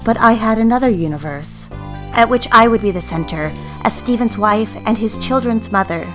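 A young woman playing a yearning, self-justifying secretary engaged to be married, narrating an audio drama in the first person in a measured, wistful, confiding way. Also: a little high, a bit bright, soft background music, close to an everyday voice. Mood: resolute, self-justifying.

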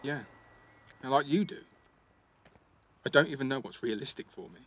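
A man's voice: mid-range voice, moderate pace, bright, forceful tone, slight background noise, natural voice. A young man playing a defensive, sweary teenage schoolboy in a naturalistic audio drama, with an East Midlands English accent and a blunt, slangy delivery. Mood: low, vulnerable. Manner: blunt, slangy, full of tag questions.

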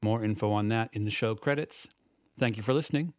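A man's voice: lowish voice, brisk. A man narrating a scripted podcast as its host, with a literary, ornate way of phrasing.

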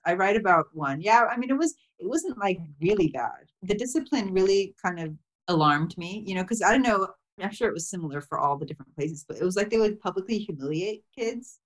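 A woman who grew up in Thailand, speaking as a memoir author and guest in a casual chat, reflective and soft-spoken with many "you know" fillers and light laughs. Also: fairly low pitch, low-quality recording. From grew up mostly in Thailand.

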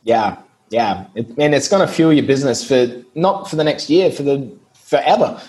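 An Australian man, a guest on a podcast, talking through his business in a relaxed, explanatory way and laughing easily. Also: medium pitch, normal speed, low-quality recording. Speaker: guest, SEO business owner. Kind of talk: casual podcast interview.

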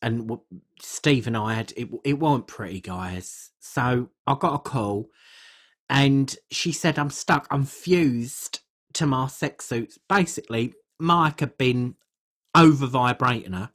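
A performer playing a crude, gossipy middle-aged English woman podcaster, telling rambling comic stories in a blunt, husky way. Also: mid-range voice, slow, fairly bright tone. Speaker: podcast co-host. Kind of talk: comic character chat, rambling anecdotes.

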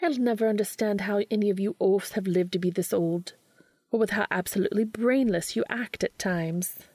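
A woman's voice: medium pitch, normal speed, darkish tone, no echo, close to an everyday voice. A woman voicing a haughty, exasperated centuries-old witch with an arch, scolding manner in a story reading.

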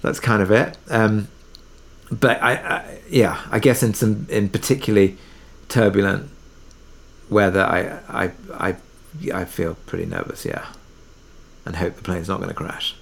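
A man with a slightly low, gravelly voice. He talks casually and haltingly as an interview guest, understated, circling back on his words. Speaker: interview guest, audio drama maker. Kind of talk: casual conversation.

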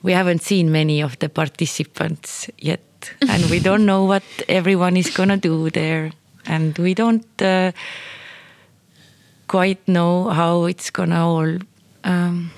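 Woman, lowish voice, talks really slow and thoughtful, kind of searching for words, casual chat. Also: fairly even intonation, dry recording. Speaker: performance maker, guest. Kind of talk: casual conversation.

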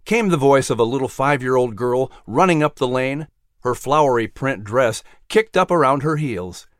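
A man narrating a children's story as announcer, with expressive, scripted storytelling delivery. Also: medium pitch, moderate pace, no echo, clean studio sound.

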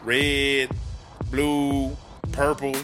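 A man playing a sassy, gossipy, foul-mouthed streetwise woman inmate in a comedy audio drama, with slangy, drawn-out exclamations. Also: medium pitch, monotone. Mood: nostalgic, savoring. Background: music.

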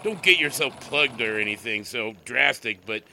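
A man's voice: mid-range voice, animated, normal speed, vocal fry, sharp tone, a little hiss or hum, heavily acted. A man playing a bustling, bossy 1920s newspaper editor in an audio drama, talking in stammering bursts.

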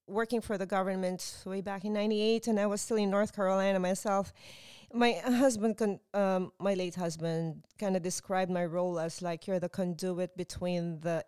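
Clear-voiced, excitable woman hosting a podcast. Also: mid-range voice, normal speed, no echo. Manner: excitable, rambling. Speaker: podcast host. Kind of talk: chatty interview hosting.

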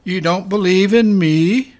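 Man playing a mournful male ghost, high voice, very slow, solemn and stern, declamatory, radio play. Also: darkish tone. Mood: solemn, challenging. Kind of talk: community radio play acting.

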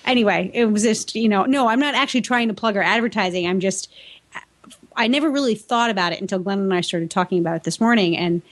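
A woman's voice: medium pitch, fast. A woman with an American accent and a mid-range voice co-hosts a podcast. She talks casually and chattily, with a playful, teasing edge. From New England, United States. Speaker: podcast co-host. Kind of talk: casual co-host banter and chat.

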